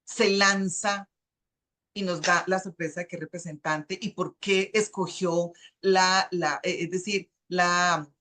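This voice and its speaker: A woman's voice: on the low side, steady tone, takes it slowly, fairly bright tone. Hesitant middle-aged Colombian woman host. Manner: hesitant, searching for words. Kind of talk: hosting a video conversation.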